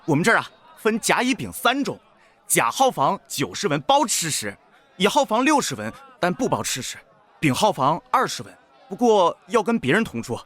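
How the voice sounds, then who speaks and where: An eager male inn waiter of old China in an audio drama. His high, bright voice swoops through singsong patter, obliging and built to call out to customers. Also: huge pitch swings, moderate pace, full character voice. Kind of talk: Chinese period audio drama acting.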